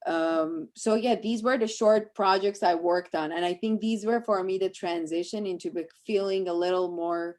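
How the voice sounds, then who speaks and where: A woman's voice: fairly low pitch, normal speed, few pauses, a little mellow. A woman from Iran, a native Persian speaker, talking reflectively and conversationally about her work as a guest speaker, searching for her phrasing as she goes.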